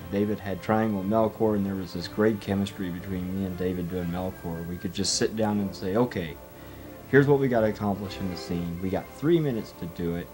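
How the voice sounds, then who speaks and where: A man's voice: low voice, mellow, low-quality recording. A man reminiscing casually in an interview about his amateur voice acting. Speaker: amateur voice actor being interviewed.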